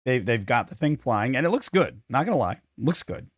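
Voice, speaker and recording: A man's voice: medium pitch, sing-song, fairly quick, no echo, studio quality. Chatty man losing his voice. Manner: opinionated, chatty, self-deprecating. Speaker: podcast co-host. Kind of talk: casual chat.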